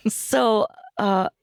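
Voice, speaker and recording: A woman co-hosting a podcast in casual chat, with a hoarse, froggy voice, chatty and laughing often. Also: mid-range voice, dry recording. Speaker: podcast co-host.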